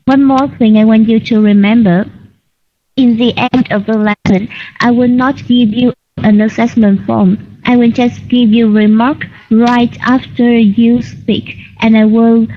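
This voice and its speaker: A woman teaching an online English class, correcting students patiently and clearly. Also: on the high side, steady tone, unhurried. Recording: lo-fi online call audio.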